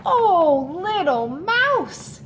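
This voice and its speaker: A woman voicing a bigger male mouse in a storybook, calm and reassuring as he explains. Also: very high voice, slight background noise, voice pushed up, heavily acted. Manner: slow, soothing explaining.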